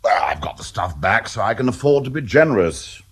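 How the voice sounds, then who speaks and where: An actor playing an indignant, upper-class lord in a radio drama, defensive and flustered under questioning. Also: male voice, lowish voice, very animated, brisk, fairly bright tone, slightly rough, exaggerated voice. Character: defensive aristocratic male lord, haughty.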